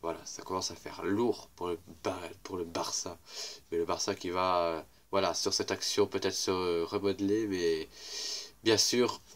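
A young man with a cold and a congested, weak voice casually commenting on football highlights, rambling and repeating himself. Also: fairly even intonation, unhurried. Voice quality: congested, little voice. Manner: rambling, repeats "regardez" and "voilà". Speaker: YouTuber commenting match highlights.

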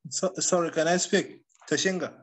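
A man's voice: on the high side, moderate pace, a crowd in the background. Hesitant man cutting in on a call. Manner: hesitant, apologetic, many uhs. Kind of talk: online meeting talk. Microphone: video call.

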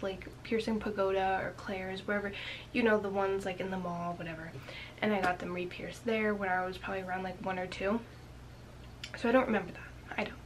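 A young woman tells a story to her webcam from a small bedroom. She sounds slightly raspy and talks in a loose, rambling way. She keeps saying "like" and often slips into a whisper. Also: mid-range voice, slight background noise, poor audio. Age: young adult. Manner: chatty, many "like" fillers, drops into whispers. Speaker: vlogger. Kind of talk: casual storytelling to camera.

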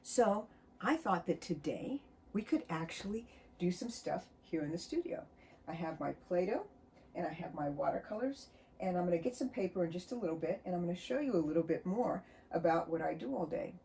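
A middle-aged woman from the United States, a children's author, chatting and reading a storybook aloud in her book-filled home studio with a cheerful, expressive storytime manner. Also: lowish voice. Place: home studio full of books, indoors.

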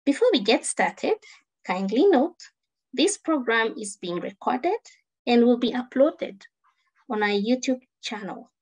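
A woman's voice: a little high, sing-song, a little slow, darkish tone, husky, low-quality recording. A woman hosting a program, reading a guest introduction and announcements in a formal way. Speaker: program host.

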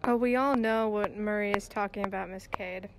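A woman's voice: medium pitch, steady tone, normal speed, creaky, close to an everyday voice. A teenage girl playing a blunt, nonchalant young fighter in an amateur audio drama. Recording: noisy amateur student recording.

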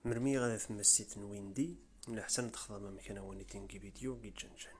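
Riffian guy talking fast, a bit low, walking you through it step by step. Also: steady tone, a little hiss or hum. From Rif region of Morocco. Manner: brisk, informal, step-by-step explaining. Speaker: tutorial presenter. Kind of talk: explanatory voice-over tutorial. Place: voice-over, not the pictured scenes.

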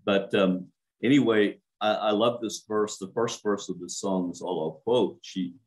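A man's voice: lowish voice, steady tone, unhurried, a little mellow, low-quality recording. An older man, a minister, preaching a sermon to a home church over a webcam, earnest and emphatic, stretching out key words.

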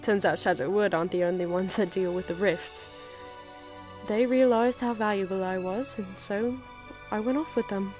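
A woman playing a warm, wry former investigator turned librarian in a full-cast audio drama. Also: medium pitch, moderate pace, soft background music, close to an everyday voice. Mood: reflective.

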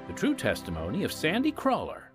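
A man narrating a scripted radio program with solemn, measured emphasis. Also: on the high side, wildly swooping pitch, over music.

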